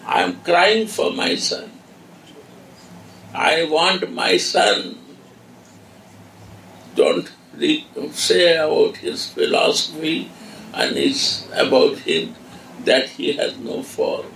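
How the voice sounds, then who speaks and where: A man's voice: a little high, big pitch swings, creaky, a bit bright, exaggerated voice. A man as a tearful grieving mother. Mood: reproachful. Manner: pleading, reproachful, halting broken English. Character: grieving mother of a divine child, woman, devoted. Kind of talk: devotional dramatic monologue. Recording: echoey room, distant mic, background noise.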